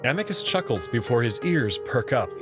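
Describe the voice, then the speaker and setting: A man reading a visual novel aloud in the first person, in an expressive, nervous way. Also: mid-range voice, moderate pace. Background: background music.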